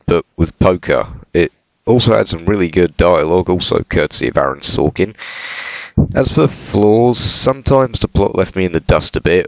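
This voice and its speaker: A British man with a very low, creaky voice talks through a film review. He is conversational and sardonic, slipping in dry asides. The sound is close and dry, with no room to it. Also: a bit bright, no echo, poor audio. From United Kingdom. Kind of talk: casual film review commentary with joking asides.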